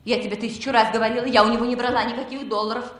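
Actress as indignant, defensive wife, sixties Soviet radio drama. High, plaintive, argumentative, echoey room. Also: female voice, fairly even intonation, rapid-fire, close to an everyday voice.

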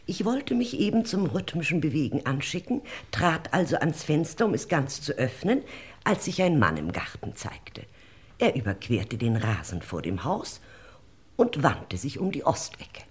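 A woman's voice: low voice, sing-song, moderate pace, husky, full character voice. A woman playing a fussy, self-righteous elderly lady in a radio crime play, stilted, dramatic and long-winded. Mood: self-important, rambling. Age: older. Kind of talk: 1950s-80s German radio crime play acting.

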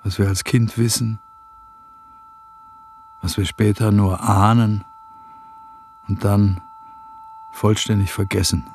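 An actor playing a reflective, reproachful friend in a radio play, speaking in a hushed, gravelly voice. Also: male voice, on the low side, level tone, slow pace, long pauses, slight background noise. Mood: reproachful, sad. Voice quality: gravelly, husky. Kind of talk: German radio play acting.

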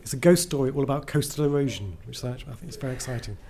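Mellow-voiced man, rambling enthusiastically. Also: mid-range voice, expressive, fast. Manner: enthusiastic, rambling, self-interrupting. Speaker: writer being interviewed. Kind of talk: casual interview talk.

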